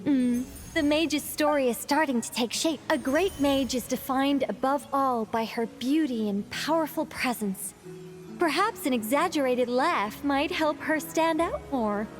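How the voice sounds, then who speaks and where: A woman's voice: high voice, measured pace, faint music behind. A woman voicing a whimsical, self-important female mage in a video game, theatrical, musing and grandly commanding. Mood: grand, boastful.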